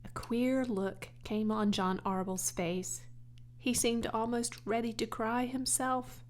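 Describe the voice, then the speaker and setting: A woman's voice: a little high, steady tone, slow. A woman reading a children's storybook aloud as a scripted audiobook narration. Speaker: audiobook reader.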